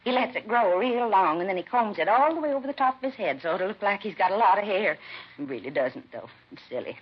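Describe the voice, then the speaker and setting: A woman's voice: mid-range voice, lively intonation, fast talker, slightly rough. An actress playing a gossipy, blunt rooming-house landlady, chatty and folksy, in a 1950s radio crime drama. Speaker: landlady questioned by police. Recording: muffled, hissy vintage radio recording.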